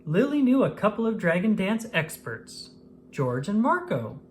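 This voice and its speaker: A woman narrating a children's picture book aloud in an expressive manner, paced for young listeners. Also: mid-range voice, a little slow, slight background noise. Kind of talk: scripted storybook narration.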